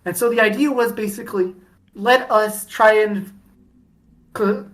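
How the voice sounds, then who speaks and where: A woman's voice: mid-range voice, measured pace. Woman podcast co-host, chatty fan talk, loose and rambling, frequent false starts. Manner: rambling, many restarts and fillers, laughs often. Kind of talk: casual fandom chat.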